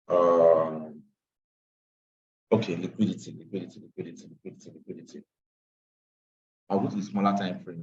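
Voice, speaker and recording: A tired man teaching a live online trading class, repeating phrases and slipping into Pidgin asides. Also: deep voice. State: tired after a long day. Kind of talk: live online class walking through charts. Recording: distant, dull lo-fi call audio.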